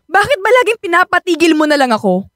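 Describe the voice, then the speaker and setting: Very high-pitched, hurt Filipino wife. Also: female voice, very animated, quick pace, fairly bright tone, no room sound, clean studio sound, heavily acted. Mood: accusing. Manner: pleading, confrontational, persistent. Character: hurt, insistent, childlike young wife demanding the truth. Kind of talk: Tagalog radio drama acting.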